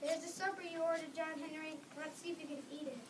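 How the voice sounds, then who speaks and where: A woman's voice: sits high, flat delivery, fast, natural voice. A woman reading the part of a folksy, skeptical man in a reader's theater script. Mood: amused surprise. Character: folksy, skeptical male father and tunnel captain. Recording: distant mic with clear background noise.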